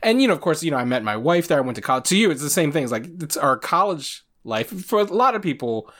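A man's voice: a little high, big pitch swings, fast, fairly bright tone. A man from Virginia co-hosting a podcast, chatting casually, reflective and teasing, and laughing often. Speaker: podcast co-host. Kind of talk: casual conversation.

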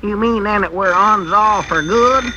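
An actor playing a grumbling, excitable country storekeeper in a 1940s radio comedy, gravelly and sarcastic, with a rural Southern American accent. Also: male voice, sits high, measured pace. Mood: pleading. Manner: muttering, sarcastic. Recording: noisy vintage 1940s radio recording.

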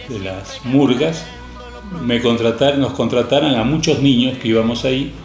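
An older man from Spain, an interview guest and record label founder, telling stories from his life in a reflective, unhurried way. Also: medium pitch, echoey room. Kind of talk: storytelling interview.

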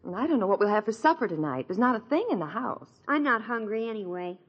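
A woman's voice: mid-range voice, animated, fast talker, husky. A woman playing a frazzled, wryly grumbling American suburban homemaker in a 1950s radio sitcom. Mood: wry, exasperated. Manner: wry, exasperated. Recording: muffled old-time radio recording.

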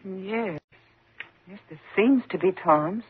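An actress playing an agitated, pleading, frightened woman in a 1950s radio thriller, speaking haltingly. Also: medium pitch, a little hiss or hum, close to an everyday voice. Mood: subdued, shaken. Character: frightened, insistent woman haunted by a convict. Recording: muffled, lo-fi 1950s radio recording.

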